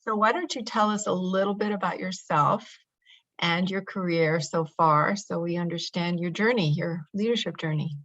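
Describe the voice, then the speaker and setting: Casting: host woman, lowish voice, dry and a bit muffled, chatty. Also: moderate pace, fairly bright tone, no echo. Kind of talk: introducing a guest.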